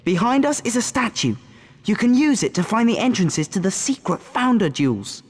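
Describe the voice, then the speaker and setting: A man's voice: high-pitched, sing-song, moderate pace, husky, exaggerated voice. A man voicing a persuasive, scheming teenage schoolboy wizard in a video game. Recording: game audio with long reverb.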